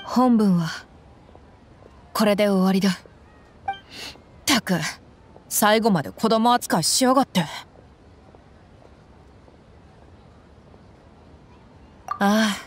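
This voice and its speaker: A woman's voice: mid-range voice, measured pace, pauses often, lightly acted. A woman as a blunt, tomboyish young mercenary. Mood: exasperated. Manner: blunt, tomboyish casual speech. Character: tough, blunt, warm-hearted young female desert mercenary. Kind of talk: anime-style game dialogue.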